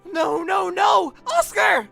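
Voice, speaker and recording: A woman's voice: very high voice, animated, slow, vocal fry, pushed and bright, faint music behind, heavily acted. A woman voicing an earnest, overwrought youngster in a fan audio drama, pleading and emotional. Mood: anguished.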